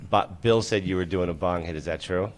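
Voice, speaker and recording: Low-voiced rambling male talk show host. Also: fairly quick. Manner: loose, rambling, swears, lots of fillers. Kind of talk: casual live-stream chat.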